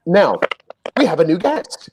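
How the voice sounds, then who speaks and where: Lively bantering male comedy host. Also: sits high, unhurried, slight background noise. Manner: animated, jokey, leads the chat. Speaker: show co-host. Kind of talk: casual online comedy chat.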